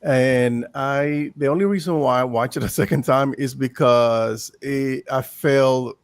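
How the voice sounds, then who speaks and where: A man's voice: mid-range voice, measured pace, clear, resonant tone, no echo. A man in his early fifties, a guest on a live review, talking in a rambling, self-correcting way. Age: 50s. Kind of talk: casual chat.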